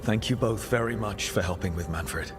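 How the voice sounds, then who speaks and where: An actor playing a supportive, plain-spoken adventurer in a fantasy game, sincere and encouraging. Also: male voice, fairly low pitch, normal speed, music in the background, close to an everyday voice.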